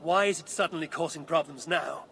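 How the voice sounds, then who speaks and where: An actor playing a blunt, distrustful Viking warrior in a video game, speaking in a curt, sardonic, challenging way. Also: male voice, fairly high pitch, fairly quick, vocal fry, pushed and bright, slight background noise. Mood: wary, impatient. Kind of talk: acted game cutscene dialogue.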